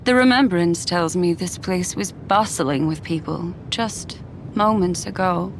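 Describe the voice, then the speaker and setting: An actress playing a quiet, perceptive young woman in a video game, speaking slowly in a hushed, observant way. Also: on the low side, a little hiss or hum. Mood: wary. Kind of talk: game cutscene voice acting.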